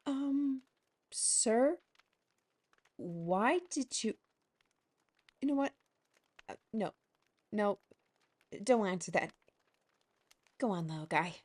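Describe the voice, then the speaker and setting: Woman voicing a wry heroine, fantasy audio drama, slow unhurried delivery, dry sarcasm. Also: medium pitch, animated, darkish tone.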